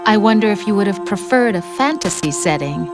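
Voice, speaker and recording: An actress playing a cruel artificial intelligence posing as a scornful young girlfriend in a video game, speaking with deliberate reproach. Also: mid-range voice, expressive, music in the background, natural voice. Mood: coldly mocking. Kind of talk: dramatic game cutscene acting.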